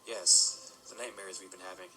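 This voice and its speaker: Man acting young mercenary mage pony, lowish voice, quick, articulate, persuasive, audio drama, loud music. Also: steady tone, lightly acted. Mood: explaining. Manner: articulate, reasoning.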